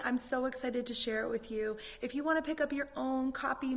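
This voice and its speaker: Lady teaching kids yoga, encouraging, echoey room, high voice. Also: creaky. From Canada. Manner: encouraging, instructive, simple child-directed phrasing. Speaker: children's yoga instructor and host. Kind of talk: guided kids' yoga class with storytime. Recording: roomy, distant mic with faint noise.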